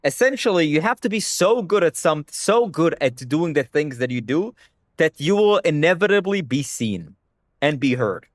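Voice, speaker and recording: A man's voice: a little high, big pitch swings, normal speed, dry recording, clean studio sound. A young man whose first language is Hebrew, talking bluntly to his stream chat and swearing freely. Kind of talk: casual livestream rant.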